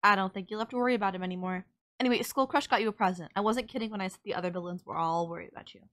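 A woman's voice: mid-range voice, racing, a bit bright, lightly acted. A woman playing a sardonic villain nemesis in an audio roleplay, scolding and teasing the listener in an exasperated but caring way.

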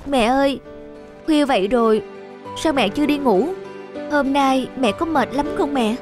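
A woman's voice: high-pitched, unhurried, a little mellow, soft background music. A woman voicing an anxious, devoted teenage schoolboy son in a cartoon, speaking earnestly and pleadingly. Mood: remorseful. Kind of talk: animated moral-tale dubbing.

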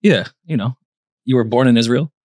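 Rambling deadpan podcast host, man. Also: medium pitch, brisk, dry recording, clean studio sound. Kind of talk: casual banter. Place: podcast studio.

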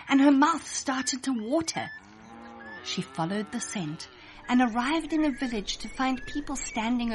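A woman narrating a scripted children's story in an expressive read-aloud manner. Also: a little high, a little hiss or hum, low-quality recording.

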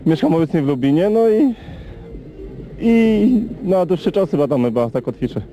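A man, a goalkeeper, answering interview questions outdoors at a stadium, hesitant and halting. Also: on the high side, expressive, mellow, soft background music, rough recording. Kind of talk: post-match interview.

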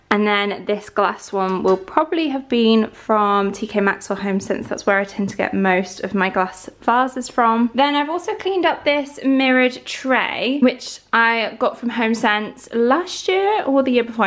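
A woman's voice: on the high side, fairly bright tone. A young British woman chatting casually as she cleans and decorates her living room, recorded on a camera across the room. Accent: British English. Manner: chatty and upbeat, easily startled. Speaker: home vlogger. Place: living room at home, indoors. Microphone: camera mic from across the room. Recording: roomy, distant camera sound.

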